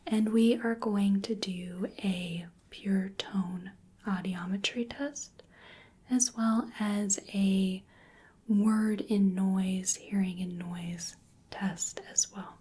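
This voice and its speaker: Breathy, whispery young woman, slow and soft. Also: medium pitch, steady tone. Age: 20s. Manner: gentle, slow, careful instructing. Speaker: ASMR performer playing a hearing examiner. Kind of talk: soft-spoken ASMR medical roleplay. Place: small indoor room. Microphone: close microphone, just off camera.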